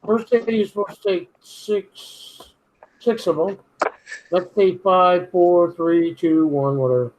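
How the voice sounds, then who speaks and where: A man's voice: sits high, slow, noisy background. A man with a slight Brooklyn-ish accent chatting casually, blunt and grumbling, swearing freely. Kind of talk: casual late-night chat.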